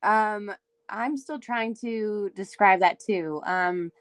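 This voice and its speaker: A young woman from Windsor, Ontario with a raspy voice, chatting loosely as a singer-songwriter guest, full of fillers. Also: medium pitch, takes it slowly, dry recording. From Windsor, Ontario, Canada. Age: young adult. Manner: chatty, many fillers, laughs easily. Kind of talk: casual interview chat.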